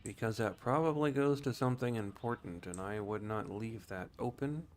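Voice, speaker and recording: A man streaming a video game, explaining things in a casual, rambling, unhurried way. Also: fairly low pitch. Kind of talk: casual gameplay commentary. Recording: faint background noise.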